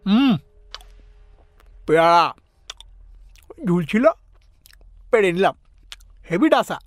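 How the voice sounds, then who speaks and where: Audio drama, food-loving young boyfriend, very slow drawl, offhand, mumbling, easygoing, varied intonation. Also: mid-range voice, animated, dry recording. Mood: nonchalant. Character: laid-back, food-loving young boyfriend, munching, unbothered.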